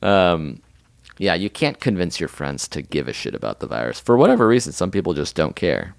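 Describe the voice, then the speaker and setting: A man's voice: low-pitched, very animated, brisk, vocal fry, dry recording. A man in his thirties co-hosting a podcast in a casual, comedic chat, teasing his co-host, laughing often, and reading out a listener email. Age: 30s.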